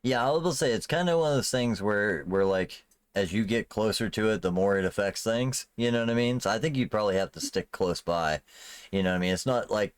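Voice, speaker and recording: Male game master, low register, dry close sound, conversational improvised narration for tabletop play. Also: no echo. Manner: conversational, often asks "you know what I mean".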